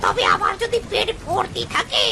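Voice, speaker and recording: Crow sidekick: very shrill, hugely swooping, nervous, servile, stammering cartoon delivery. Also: wildly swooping pitch, normal speed, heavily acted. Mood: eager, fawning. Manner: stammering, pleading, eager to please. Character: fawning, nervous crow scout serving a predator boss. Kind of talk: cartoon story dialogue. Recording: loud background noise.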